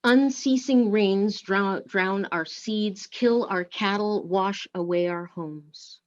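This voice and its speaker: A woman reads solemnly at a very slow, deliberate pace. Her voice sits at a middling pitch, and the recording is slightly dull. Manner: measured, deliberate phrasing. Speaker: worship service speaker and reader. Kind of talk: solemn scripted poetry and litany reading.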